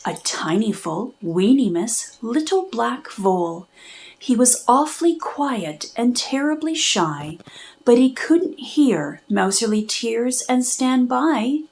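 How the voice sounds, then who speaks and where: A woman reading a children's storybook aloud, narrating expressively in measured, rhythmic rhyme. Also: on the high side, a little mellow. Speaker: children's book reader and host.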